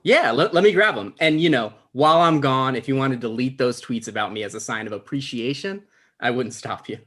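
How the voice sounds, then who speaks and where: A man playing an awkward, thin-skinned, lonely show host in a comedy table read, halting and defensive. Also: medium pitch, fairly bright tone, lightly acted. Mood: awkward, eager.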